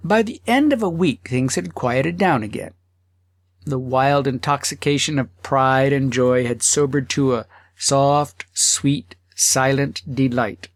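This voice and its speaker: A man narrating a humorous literary story as an audiobook, phrasing it deliberately and expressively with pauses. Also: medium pitch, dry recording, clean studio sound.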